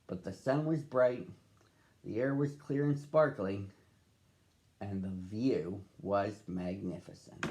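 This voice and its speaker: A man reading a picture book aloud to children as a storyteller, with expressive phrasing and drawn-out words. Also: lowish voice. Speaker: storybook reader.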